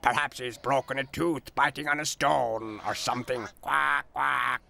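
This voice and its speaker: A quacking duck with a heavy gravel in his voice holds forth, as a male narrator puts him on. The tone is bright and sharp, with slow, deliberate delivery. He is a know-it-all from a farmyard storybook. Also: medium pitch, steady tone, slight background noise, full character voice. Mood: blustering.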